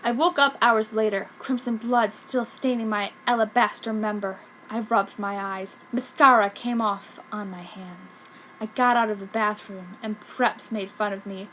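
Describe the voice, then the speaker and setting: A woman's voice: medium pitch, steady tone, measured pace, a bit bright, a little hiss or hum, low-quality recording. A woman giving a theatrical amateur reading of fan fiction. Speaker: amateur fanfiction reader.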